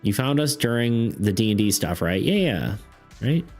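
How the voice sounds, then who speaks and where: A man's voice: mid-range voice, very animated, normal speed, a little mellow. A young man streaming casually about art and game design, rambling with lots of fillers and laughs, saying he is losing his voice. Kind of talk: casual live-stream chat. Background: faint background music.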